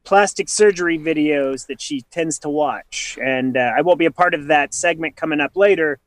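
A man co-hosting a radio talk show who tells animated stories and throws in hammy asides. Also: on the high side, normal speed, dry recording. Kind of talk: casual radio banter.

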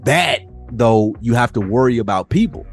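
A man's voice: moderate pace, soft background music. Laid-back man telling a story. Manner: animated storyteller, mimics people in higher voices. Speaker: podcast co-host. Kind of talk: casual chat.

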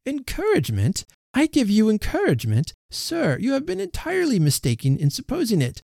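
A man reading the part of a proud young gentlewoman who firmly rejects a suitor, speaking crisply and emphatically. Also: very high-pitched, wildly swooping pitch, brisk, no room sound, clean studio sound, voice pushed up, heavily acted. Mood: indignant. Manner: crisp, emphatic, rising protest.

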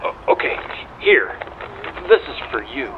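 A man's voice: mid-range voice, big pitch swings, unhurried, phone-quality sound, background noise. A man playing a chatty, conspiratorial coin-club ticket clerk in an audio drama, with a chirpy, knowing manner. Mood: knowing, helpful.

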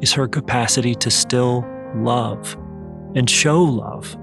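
A man's voice: mid-range voice, takes it slowly, vocal fry. A man reading a scripted first-person personal essay as narrator. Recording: music behind the voice. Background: music.

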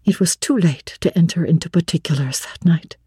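A woman narrating classic literature in the first person, with measured, expressive, dramatic phrasing. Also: lowish voice, husky, no room sound, studio quality.

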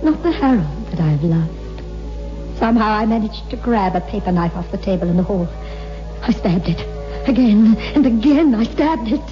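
A woman's voice: medium pitch, animated, normal speed, lightly acted. A woman playing an anguished, haunted widow in a theatrical, intense style of 1960s radio horror acting. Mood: haunted, drained. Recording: muffled old radio recording with music.

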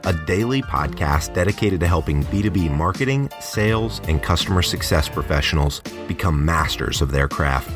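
A man reading a scripted podcast intro in a smooth announcer delivery. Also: low voice.

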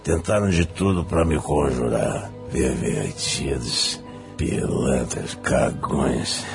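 An actor playing a small, repugnant old male demon, foul-mouthed, muddled and cheeky, with a gravelly, crude drawl in a dramatized fantasy audiobook. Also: rumbling low, music in the background, exaggerated voice. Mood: bitter, crude. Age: older. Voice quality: gravelly, with bouts of coughing. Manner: slow, crude, slangy drawl.

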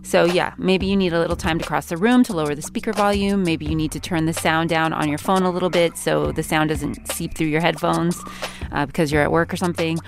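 A woman's voice: deep voice, steady tone, brisk, vocal fry, soft background music. An American woman hosting a podcast in a casual, conversational way. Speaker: podcast host.

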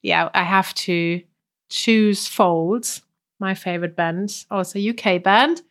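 A woman's voice: mid-range voice, takes it slowly. A woman co-hosting a podcast, sniffly with a cold, chatting casually and laughing often. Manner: chatty, laughs often, trails off mid-sentence. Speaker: podcast co-host. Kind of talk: casual chat.

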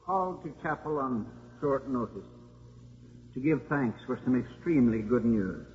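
An actor playing a contrite, weary old priest who heads a college, speaking solemnly and formally in a 1950s radio drama. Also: male voice, medium pitch, lively intonation, lightly acted. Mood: solemn regret. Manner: solemn, measured, formal speech. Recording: muffled, noisy 1950s radio broadcast.

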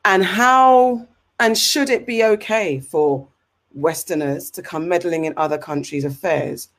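Animated English woman hosting a chat. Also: lowish voice, measured pace. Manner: animated, rambling, frequent fillers and restarts. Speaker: podcast host. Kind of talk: casual discussion.

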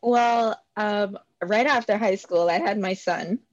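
Woman, slow and hesitant. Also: medium pitch, dry recording, highs cut off. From Bahamas. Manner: hesitant, many fillers, laughs often. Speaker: guest. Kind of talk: casual interview.

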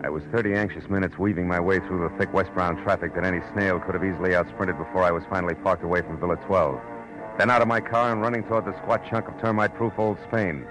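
An American actor playing a wry, world-weary, wisecracking private detective in a 1940s radio drama. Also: male voice, low-pitched, fairly even intonation, fast, lightly acted, poor audio. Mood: tense narration. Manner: wisecracking, sardonic. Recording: old radio broadcast with music.

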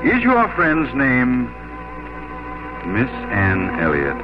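An actor playing a gallant, formal naval captain, intense and romantic, in a 1950s radio drama. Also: male voice, medium pitch, huge pitch swings, drawn-out words, full character voice. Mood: tender probing. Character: ardent, gallant English naval captain in love. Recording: dull old radio, music behind.

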